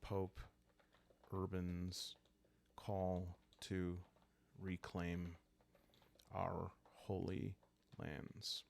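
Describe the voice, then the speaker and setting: Man playing a proud medieval crusader, gravelly, low, solemn, measured storytelling, roleplay journal. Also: completely flat delivery, a little mellow, full character voice. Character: Vincent, proud medieval English crusader recounting his life.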